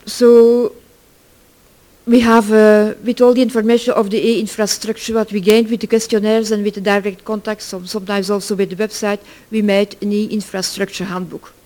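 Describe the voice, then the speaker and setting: A middle-aged or older speaker from Belgium, giving an informal conference presentation in halting, self-correcting English. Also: medium pitch, level tone, darkish tone. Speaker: project work package leader, conference presenter.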